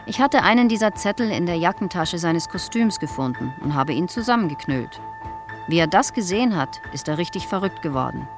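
An actress playing a plain-spoken theatre dresser in her late fifties, recounting haltingly and reflectively in a radio play. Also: on the low side, expressive. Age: 50s. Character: reserved theatre dresser aged fifty-nine, plain-spoken, regretful. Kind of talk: radio play acting, interview testimony. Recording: music under the voice. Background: music.

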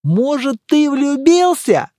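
A man voicing an excitable, nosy male friend in an audiobook, exclamatory and theatrically indignant. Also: extremely high pitch, normal speed, dry recording, studio quality, voice pushed up. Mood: teasing. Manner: exclamatory, emphatic, swooping.

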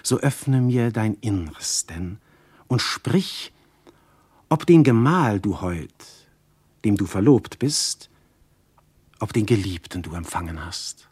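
An ardent seducer god, wildly swooping delivery. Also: male voice, fairly low pitch, expressive, slow, long pauses, breathy edge, no echo, full character voice. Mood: tender, insistent. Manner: declamatory, intimate, sudden hushed phrases. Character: ardent, jealous, seductive male god posing as husband. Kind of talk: classical verse radio drama.

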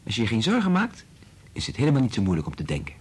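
A man playing a kind, patient scientist in a radio drama, speaking in a gentle, reassuring, informal way. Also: mid-range voice, wildly swooping pitch, racing, breathy edge, exaggerated voice. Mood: encouraging.

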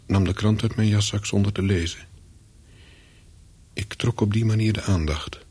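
An actor playing a wary, calculating spy on the run, narrating a radio play in terse sentences with a husky voice. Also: male voice, fairly low pitch, fairly even intonation, moderate pace, a little mellow, no room sound. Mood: watchful. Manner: terse, brooding, clipped sentences. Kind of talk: first-person radio play narration with dialogue.

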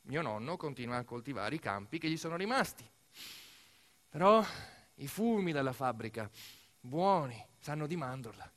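A man performs a dramatic storytelling monologue for radio theatre, emphatic and full of deliberate pauses. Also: mid-range voice, sing-song. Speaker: actor-narrator of a theatrical monologue.

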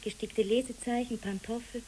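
A woman's voice: fairly high pitch, steady tone, normal speed, dark tone, close to an everyday voice, rough recording. A woman playing a dreamy, enigmatic child wanderer in a poetic German radio drama, reciting in short cryptic phrases. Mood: wistful. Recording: clear tape hiss.

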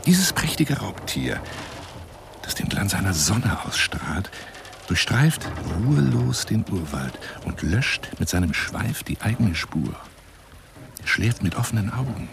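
A man's voice: on the low side, big pitch swings, measured pace, creaky, slight background noise, exaggerated voice. An actor in a radio monologue playing a florid, wheedling lion hunter who courts a woman over the phone in an intimate, theatrical way. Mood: rapt, grandiose. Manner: grandiloquent, wheedling, intimate, dropping into whispers.

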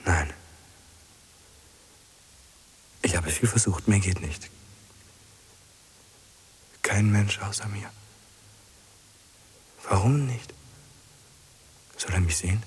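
Heiser murmelnder, einsamer, obsessiver Mann. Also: male voice, on the low side, takes it slowly, long pauses, full character voice. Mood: despairing. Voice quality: husky, often dropping into whispers. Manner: muttering to himself in short repeated phrases. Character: lonely, obsessive man, anxious and pleading. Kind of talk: German radio drama acting.

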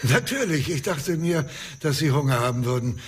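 An actor playing a hospitable camp host in a radio drama, chatty, courteous and slightly theatrical. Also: male voice, medium pitch, animated, moderate pace, a little hiss or hum, natural voice. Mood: jovial. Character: genial, fussily hospitable male research camp host.

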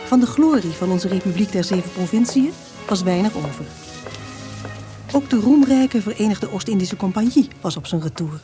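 A woman narrating a radio drama as a scripted story, in a measured, formal manner. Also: medium pitch, lively intonation, mellow, faint music behind.

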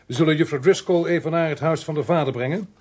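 Husky, weary police inspector. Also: male voice, fairly high pitch, fast talker, darkish tone. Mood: matter-of-fact. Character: weary, patient, polite male police inspector. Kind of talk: radio play acting.

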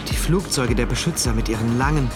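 A man's voice: medium pitch, brisk, lightly acted. A man acting an anxious, introspective narrator in a German dystopian radio drama, telling it in brooding short phrases. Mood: awed, bewildered. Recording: loud music behind the voice.

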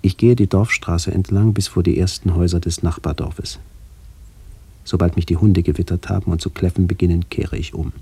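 An actor playing a brooding, melancholy middle-aged man who narrates a literary radio play in a measured, introspective way. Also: sits low, steady tone, vocal fry, warm tone, slightly rough, no echo, exaggerated voice. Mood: contemplative. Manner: measured, introspective, poetic delivery.

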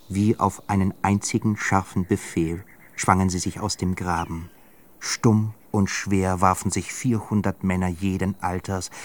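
A man narrating a radio drama in a measured, grave way. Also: sits low. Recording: music bed under voice. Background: music.

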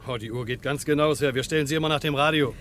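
A man's voice: on the high side, fairly even intonation, very fast, fairly bright tone, slight background noise, natural voice. A man acting an odd, obliging petrol station attendant in a 1960s German radio play, hesitant and deferential. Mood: helpful, hesitant.

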